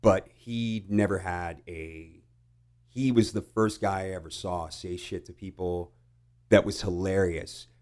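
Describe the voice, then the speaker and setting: A middle-aged American man telling personal stories casually, halting and reflective, with some swearing. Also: sits low, steady tone, a little mellow. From United States. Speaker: podcast guest. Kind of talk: casual conversational storytelling.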